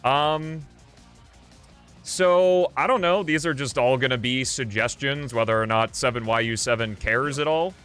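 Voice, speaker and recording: A man commentates on a video game for his livestream in a medium-pitched voice. He talks informally and loosely, thinking aloud as he plays. Game music runs behind his voice. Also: unhurried, a bit bright, smooth. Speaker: livestream host. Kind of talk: casual gameplay commentary.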